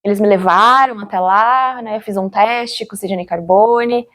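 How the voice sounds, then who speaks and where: A Brazilian woman giving an interview, recalling her experience in a reflective, halting way, searching for words and often checking for agreement. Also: medium pitch, a bit bright. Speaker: interviewee, former radio drama actor. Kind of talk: interview, personal recollection.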